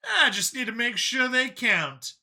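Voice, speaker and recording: A man puts on a cocky medic and hunter for an audiobook. The voice rides high and swings widely, with a sharp, forceful edge. He is combative and folksy, prone to exclaiming. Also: big pitch swings, measured pace, creaky, full character voice. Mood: insistent. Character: brash, cocky older human medic and hunter, male. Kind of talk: acted audiobook dialogue.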